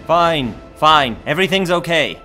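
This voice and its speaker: Guy doing blustery bossy mayor from a superhero radio play, high and really animated. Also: measured pace, faint music behind. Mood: brusque, dismissive. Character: blustering middle-aged city mayor, alarmed and commanding. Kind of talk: fan-made superhero audio drama acting.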